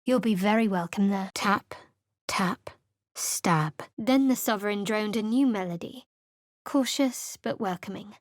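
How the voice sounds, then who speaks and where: A woman's voice: medium pitch, measured pace, no room sound, lightly acted. An actress voicing a guarded, sardonic young female cleric in video game voice lines, with a cool, dry manner. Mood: wry.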